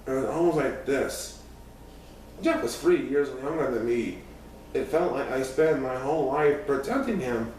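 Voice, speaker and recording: A man's voice: medium pitch, animated, measured pace, darkish tone. Echoey, distant man reading a story. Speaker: audiobook reader. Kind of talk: first-person scripted narration of a youth story. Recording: very echoey room, distant mic, faint noise.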